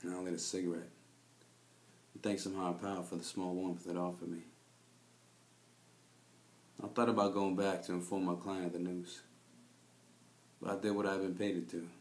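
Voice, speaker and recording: A man's voice: deep voice, little pitch movement, normal speed, long pauses, echoey room, close to an everyday voice. A man playing a cynical, world-weary private investigator, delivering a brooding noir monologue in a confiding, jaded manner. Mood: somber. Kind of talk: scripted noir detective monologue.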